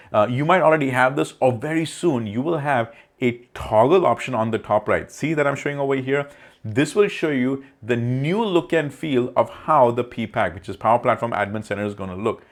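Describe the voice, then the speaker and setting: A man narrating an informal tech explainer over slides, talking directly to the viewer in a conversational way. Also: medium pitch. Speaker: tech video presenter.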